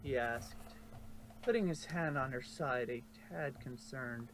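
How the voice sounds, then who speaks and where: A man narrates a fan story as if from a storybook, at a middling pitch. He is informal and fixes his slips as he goes. The home recording has some noise behind him. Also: measured pace. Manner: informal, stumbles and corrects himself. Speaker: fanfiction narrator. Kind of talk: storybook narration read aloud.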